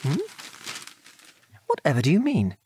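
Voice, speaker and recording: An actor with a British accent playing a gentle middle-aged father, a former RAF man, reminiscing in an old-fashioned genteel manner in a radio play. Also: on the high side, wildly swooping pitch, unhurried, slight background noise. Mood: patient, fond. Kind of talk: radio drama dialogue, reminiscing.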